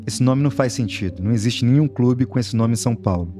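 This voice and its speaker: A man co-narrating a true-crime podcast, calmly explaining case details. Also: medium pitch, quick pace, music in the background. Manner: calm explanatory storytelling.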